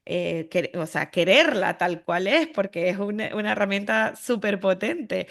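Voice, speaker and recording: A woman's voice: medium pitch, a little slow, creaky. A woman with a Spanish accent from Spain hosting a casual conversational interview, reflective and often checking for agreement. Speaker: podcast host.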